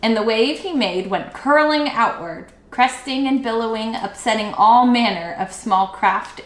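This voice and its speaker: A woman reading a children's book aloud expressively, with dramatic, drawn-out emphasis. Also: a little high, a bit bright. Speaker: storybook read-aloud teacher. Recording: echoey room.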